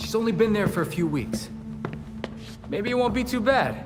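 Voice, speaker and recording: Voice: mid-range voice, expressive, normal speed, lightly acted. A performer playing a determined, level-headed young vigilante in a video game cutscene. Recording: very loud music behind.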